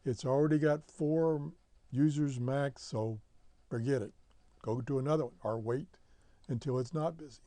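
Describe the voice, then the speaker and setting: An American man with a mellow, relaxed voice talks through a casual how-to as a hobby show host. He explains things plainly, one step at a time, on a close mic with a dry sound. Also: medium pitch, normal speed, no room sound. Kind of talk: casual screen-share tutorial.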